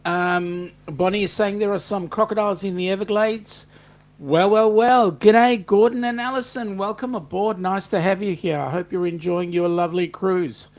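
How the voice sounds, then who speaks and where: Australian male co-host, slightly high voice, chatty, reads viewer comments. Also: steady tone, normal speed. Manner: chatty, reads out comments with many ums and restarts. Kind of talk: casual live chat reading viewer comments.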